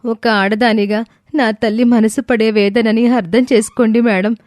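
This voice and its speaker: A scheming mother, played by a woman in an audio moral story, imploring with theatrical sorrow. Her tone is mellow and the sound is dry and close. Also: a little high, fairly even intonation, normal speed, dry recording, natural voice. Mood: anguished pleading. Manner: emotional, imploring. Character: deceitful mother pleading with fake tears.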